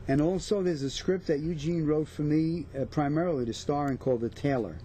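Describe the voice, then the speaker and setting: Mellow New man, casual. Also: on the high side, fairly quick, a little hiss or hum. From New York/New Jersey area. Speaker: actor, interview guest. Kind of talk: casual interview chat.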